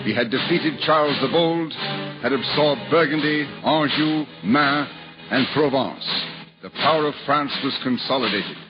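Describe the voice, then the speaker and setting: A man narrating a 1950s radio drama in a measured storyteller's way. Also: medium pitch. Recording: dull old radio broadcast under music.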